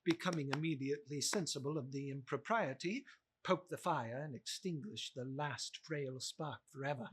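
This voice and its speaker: A narrator reading a classic story aloud in a measured, expressive storytelling manner. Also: mid-range voice, husky.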